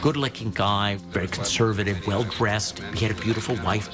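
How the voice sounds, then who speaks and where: A man's voice: on the low side, fairly even intonation, brisk. A man giving reflective, emphatic commentary for a documentary. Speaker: documentary interviewee. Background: music score.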